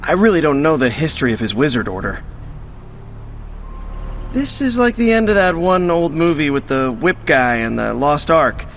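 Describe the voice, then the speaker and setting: Youthful superhero, high lively voice, earnest, rambling, game dialogue, tinny audio. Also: male voice, moderate pace. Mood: concerned. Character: youthful earnest male superhero, chatty and good-hearted. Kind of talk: video game voice acting. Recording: tinny band-limited game audio with music.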